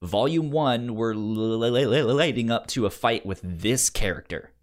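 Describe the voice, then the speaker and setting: A man's voice: mid-range voice, normal speed. A man hosting a podcast in a casual, chatty style, often stammering and repeating words and syllables as he goes. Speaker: podcast host.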